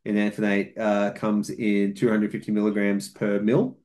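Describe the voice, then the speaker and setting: A man with a fairly low voice explains things methodically as a podcast guest. He talks at length in a casual chat, often using fillers and restarting his sentences. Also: completely flat delivery, normal speed, a little mellow. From outside New Zealand. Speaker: podcast guest, fitness coach.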